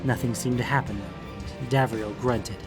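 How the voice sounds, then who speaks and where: A man's voice: mid-range voice, moderate pace, over music. A man reading scripted, dramatic fantasy narration as an audiobook narrator.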